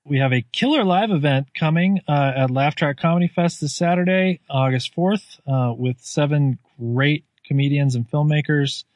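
A man's voice: on the high side, normal speed, few pauses, a little mellow, dry recording. A man hosting a podcast, reading an earnest, self-deprecating intro while recording under a comforter in his garage on a cheap microphone. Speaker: podcast host. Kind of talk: scripted spoken intro. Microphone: cheap home microphone.

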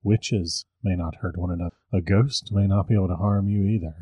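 A man voicing a centuries-old male vampire who speaks softly and low, close to a whisper. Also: moderate pace, dry recording, close to an everyday voice. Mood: menacing. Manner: soft, low, whispering threats.

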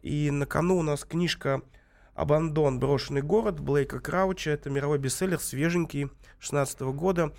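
A man hosting a live radio interview from the studio, talking with a cold and pausing often on filler sounds. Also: medium pitch. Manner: halting, many filler sounds. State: has a cold. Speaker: radio host.

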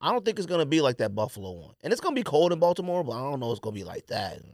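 An American man talks casually as a sports podcast co-host. His pitch swings widely as he makes opinionated, slangy points in an animated manner. The sound is close and dry, with very little room. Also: very fast, dry recording.

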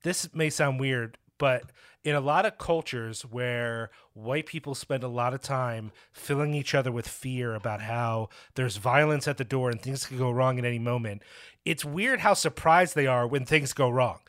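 A man co-hosting a casual film discussion, animated and swearing, acting out quotes in mocking voices. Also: mid-range voice, moderate pace. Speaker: film podcast co-host.

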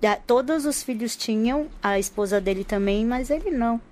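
A woman's voice: on the high side, moderate pace, a little mellow, slight background noise. Hesitant, laughing woman podcast guest. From Rio de Janeiro. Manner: hesitant, many restarts and laughs. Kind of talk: casual chat.

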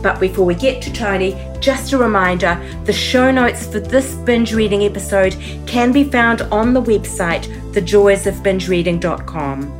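A woman's voice: mid-range voice, normal speed, hardly any pauses. Woman podcast host, faint music behind. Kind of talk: scripted intro and interview questions.

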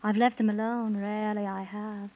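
Actress as nursery-rhyme shepherd girl, mid-pitched, singsong, vintage children's radio fantasy, thin narrow-band recording. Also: flat delivery, normal speed, close to an everyday voice. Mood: prim, forlorn. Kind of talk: 1930s children's radio serial acting.

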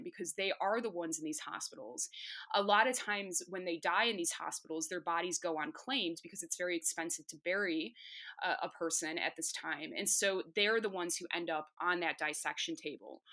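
Interview guest: woman, Chicago accent, bright lowish voice, lively explainer. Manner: articulate, lively storytelling with frequent ums. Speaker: author guest. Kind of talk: podcast interview answers. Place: home in the UK.

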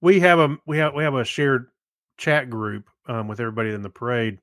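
A man chats informally as co-host of a podcast. He is relaxed and inclined to joke, with a mid-range male pitch. The recording is dry, free of room echo. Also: a little fast. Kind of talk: casual chat.

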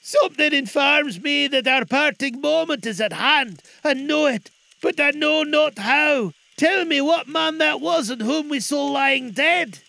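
A man's voice: very high-pitched, a little slow, gravelly, pushed and bright, voice pushed up, full character voice. A man voicing an anxious, humbled old miser who pleads in urgent, formal phrases in a storybook reading. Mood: urgent dread.

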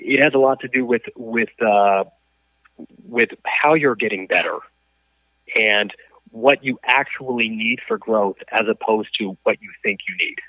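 A man of average pitch talking as a guest over a tinny, phone-like line. He tells his story in a halting way, restarting sentences and filling pauses with "uh". The sound is dry with no room. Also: no room sound. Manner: halting, many restarts and fillers. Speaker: guest, coach and athlete. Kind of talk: conversational storytelling in an interview. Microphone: phone or call line.

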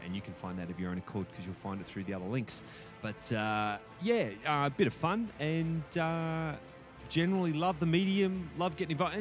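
An Australian man in casual podcast conversation, with a mid-pitched voice. He talks in a colloquial way, repeating words for emphasis, over faint music. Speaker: guest podcaster and film reviewer.

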